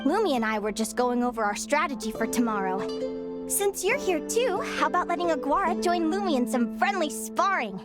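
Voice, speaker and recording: A stubborn young advisor from a game, earnest and reflective as she talks things through. Her voice sits fairly high. Loud music plays underneath. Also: expressive, brisk. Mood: determined. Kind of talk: game cutscene dialogue.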